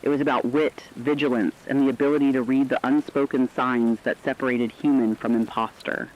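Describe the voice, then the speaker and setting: A man with a medium-pitched voice narrating a scripted apocalyptic story in a solemn, measured manner. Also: steady tone, lo-fi sound.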